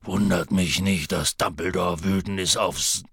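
A man voicing a huge, gruff, anxious half-giant groundskeeper in an audiobook, rambling nervously and clipping his words. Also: deep voice, steady tone, a little slow, fairly bright tone, no room sound, clean studio sound, full character voice. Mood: uneasy. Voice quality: gravelly, husky. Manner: rambling, nervous, drops word endings. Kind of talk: audiobook character voice.

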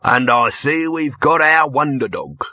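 A man's voice: fairly high pitch, lively intonation, measured pace, pushed and bright, no echo, pitched above the performer's own voice, exaggerated voice. A man voicing a scheming male film-studio boss with a slick, wheedling salesman's manner.

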